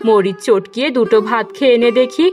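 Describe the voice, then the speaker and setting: A woman's voice: a little high, brisk, darkish tone, soft background music, natural voice. A woman voicing a weary, scolding mother from a poor village household in a cartoon, in an exasperated, nagging manner. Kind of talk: Bengali cartoon dialogue.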